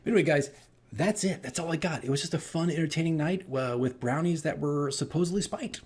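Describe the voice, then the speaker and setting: A man telling a casual, animated story as a game master on a vlog, rambling and repeating his phrases. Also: fairly high pitch, fairly quick, breathy edge. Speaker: tabletop game master recounting his campaign.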